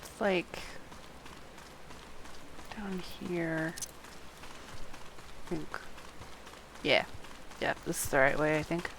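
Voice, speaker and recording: A woman's voice: low-pitched, drawn-out words, gravelly, pauses often, background noise. A woman streaming a game walkthrough, reading quest text aloud and casually muttering to herself as she plays.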